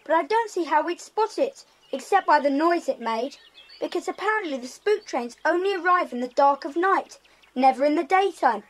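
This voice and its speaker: A woman's voice: sits high, animated, normal speed. A woman with a British accent playing a keen, matter-of-fact, adventurous child in a children's audio drama. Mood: reasoning.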